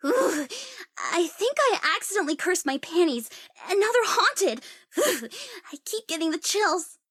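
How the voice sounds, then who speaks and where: High-pitched, cocky sorceress. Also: female voice, big pitch swings, measured pace, a bit bright, no room sound, clean studio sound, full character voice. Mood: flustered. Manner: theatrical, teasing, swinging into wry asides. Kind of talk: video game character voice lines.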